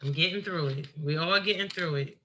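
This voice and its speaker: A woman's voice: low-pitched, a little fast, a loud crowd, poor audio. A woman co-hosting a casual podcast, speaking in an easygoing, earnest way. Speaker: podcast co-host. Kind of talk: casual chat.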